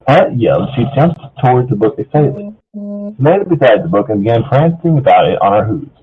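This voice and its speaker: A man narrates a story in a casual, lively way, often tripping over words and starting again. His voice is very rough and husky. The sound is narrow and muffled, like a low-quality voice chat. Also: fairly quick. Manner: stumbles over words, often repeats phrases. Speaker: story reader. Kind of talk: casual narration of fan fiction. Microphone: online voice call.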